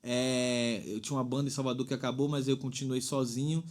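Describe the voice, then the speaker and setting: Convidado baiano, cantor, tom médio, divaga com calma e muito "né?". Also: male voice, medium pitch, fairly quick. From Bahia, Brazil. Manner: thoughtful, rambling with frequent "né?". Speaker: singer-songwriter guest. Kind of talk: reflective interview talk.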